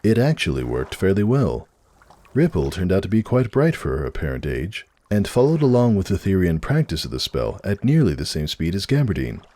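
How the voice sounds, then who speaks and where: A man narrates a story in a very low voice. His reading is scripted and dramatic, with a lot of movement in the pitch from phrase to phrase. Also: a little mellow, a little hiss or hum. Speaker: narrator reading a fan fiction story aloud.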